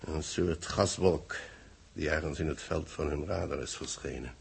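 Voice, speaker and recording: An actor playing a knowing, measured male newspaper reporter in a 1960s Dutch radio drama, with a gravelly, husky voice. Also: very deep voice, a little hiss or hum, heavily acted. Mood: pensive, ominous.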